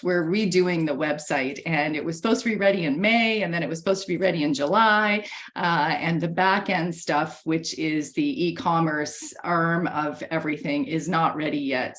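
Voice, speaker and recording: Chatty woman losing her voice. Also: on the low side. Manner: chatty, many fillers and restarts. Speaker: webinar presenter. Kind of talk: casual presentation. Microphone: computer mic on a video call.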